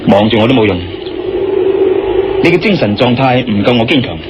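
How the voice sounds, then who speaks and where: A man with a Hong Kong Cantonese accent acting a resolute, inquisitive investigator in a radio drama, deliberate and questioning. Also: mid-range voice, animated, very noisy, lightly acted. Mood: tense, determined. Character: resolute, inquisitive adult male investigator and hero. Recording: dull lo-fi radio drama under loud music.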